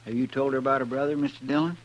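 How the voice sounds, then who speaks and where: A man's voice: medium pitch, steady tone, racing, muffled recording, natural voice. Man acting a folksy, deferential deputy. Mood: concerned. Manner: polite, deferential, homespun. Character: deferential, folksy deputy sidekick in an Old West town. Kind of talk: 1950s radio western acting. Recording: noisy vintage radio recording with hum.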